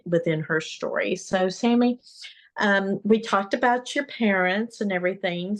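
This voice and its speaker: A woman hosting an interview podcast, asking gentle, encouraging questions. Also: medium pitch. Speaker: host.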